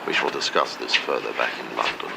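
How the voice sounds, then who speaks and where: A man's voice: a bass voice, brisk, creaky, very noisy, full character voice. A man playing a stern, cold father and boss in a radio drama, formal and commanding.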